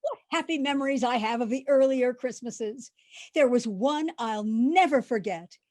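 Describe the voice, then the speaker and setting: An actress as a dithery old landlady in a radio comedy. Her voice is very high, and she rambles along kindly and earnestly, forever losing the thread. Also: moderate pace, a little mellow, close to an everyday voice. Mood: fond, reminiscing. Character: dithery, absent-minded elderly landlady, kindly and chatty.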